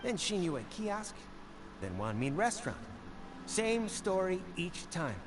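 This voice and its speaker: A man's voice: high-pitched, very animated. An actor playing a cocky, greedy street thug in a video game, smugly needling and drawing out his taunts. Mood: accusing. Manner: smug, needling, drawn-out taunts. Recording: noisy game audio within a livestream.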